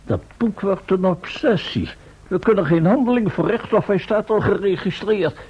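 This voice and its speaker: An actor playing a querulous, ironic gentleman with a husky voice and formal, theatrical manner in a Dutch radio drama. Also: high voice, moderate pace. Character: sardonic, querulous gentleman leading stranded travellers. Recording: muffled old radio play recording.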